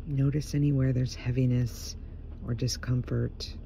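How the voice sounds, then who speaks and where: A man guides a meditation very slowly, his voice dark and soft with a heavy creak at the edges. He speaks gently in short, soothing phrases with space between them. The recording is a little muffled, with faint noise behind him. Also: medium pitch, level tone. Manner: gentle, hushed, unhurried pauses between phrases.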